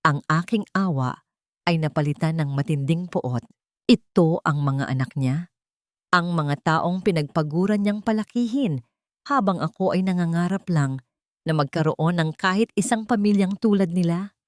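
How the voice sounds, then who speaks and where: A woman with a fairly low voice tells a dramatic story. Her delivery is measured and theatrical, as in scripted audio fiction. The sound is dry and close. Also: darkish tone, no room sound.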